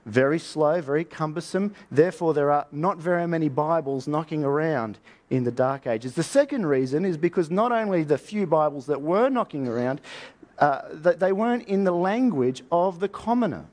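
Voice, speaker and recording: Male preacher, slightly high-pitched, informal storyteller style with rhetorical questions, teaching in a conversational sermon. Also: lively intonation, moderate pace, a little mellow. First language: English. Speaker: preacher teaching on Bible history. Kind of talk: sermon, conversational history lecture.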